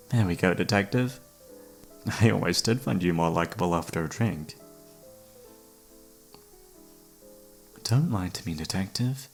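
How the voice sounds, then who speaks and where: A man acting an obsessive, flirtatious serial killer who holds a detective captive, speaking with teasing mock courtesy in an ASMR roleplay. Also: sits low. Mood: coaxing, sly. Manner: teasing, mock-polite, theatrical, savouring words. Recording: background music under the voice.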